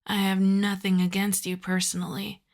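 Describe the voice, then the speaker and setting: A woman's voice: medium pitch, level tone, normal speed, creaky, natural voice. A woman playing an exasperated but courteous mafia boss in a roleplay audio drama, wry, sardonic and conversational. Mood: wry, apologetic. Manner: wry, sweary, conversational, addresses listener as darling.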